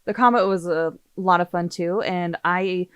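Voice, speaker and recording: A woman's voice: lowish voice, moderate pace, clean tone, no room sound. A woman chatting casually as a podcast co-host, emphatic and opinionated about games. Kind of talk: casual gaming podcast chat.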